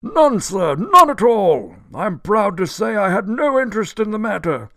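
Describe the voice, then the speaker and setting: An actor in a radio drama playing a cantankerous, litigious old gentleman, pompous and indignant, declaiming in a creaky voice. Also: sits high, very animated, normal speed, no echo, studio quality, heavily acted. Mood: boastful, triumphant. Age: older.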